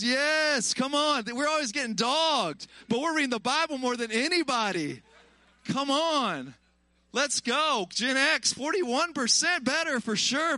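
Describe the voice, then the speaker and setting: A man in his early forties preaching an informal sermon in an animated, colloquial, exhorting way. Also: very high voice, no echo. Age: early 40s. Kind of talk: informal sermon to a live congregation, with personal testimony.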